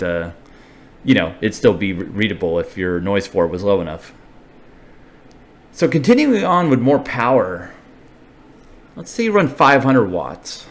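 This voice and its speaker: A man with a fairly low voice gives a casual technical explanation. He sounds informal and unscripted, thinking aloud and building each point one step at a time, like the presenter of a hobbyist video.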